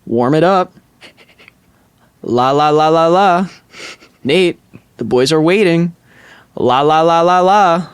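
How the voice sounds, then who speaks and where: A man playing an overdramatic actor deliberately reciting flirty pop lyrics as a mock dramatic reading. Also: fairly high pitch, clean tone, dry recording. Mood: mock serious. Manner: deliberate, theatrical.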